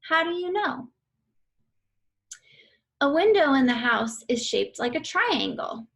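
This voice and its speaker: A woman reading aloud an educational book for children in a deliberate, instructional way. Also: high-pitched, sing-song, gravelly, fairly bright tone. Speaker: read-aloud reader for children.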